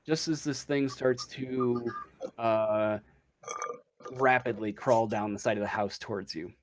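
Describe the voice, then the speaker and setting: A man running a tabletop game as game master, improvising the narration slowly and searching for his words. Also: mid-range voice, steady tone.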